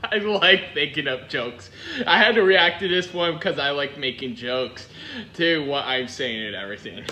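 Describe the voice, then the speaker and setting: A man's voice: on the high side, a little slow, sharp tone. A young man reacting to a video, repeating lines back and giggling at his own jokes, on a headset at a desk. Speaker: reaction channel host. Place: indoors at a desk.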